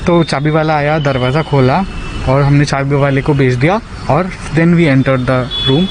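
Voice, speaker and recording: A man with an Indian accent recounting events on a recorded phone call, halting and slipping into English. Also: fairly high pitch, steady tone, noisy background.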